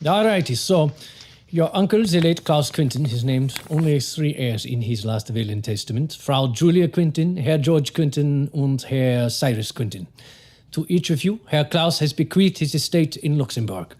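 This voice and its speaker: A man playing a courteous German lawyer in an audio drama, with a German accent and formal, sometimes halting phrasing. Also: on the high side, lively intonation, a little mellow. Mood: formal, welcoming. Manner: formal, halting word searches.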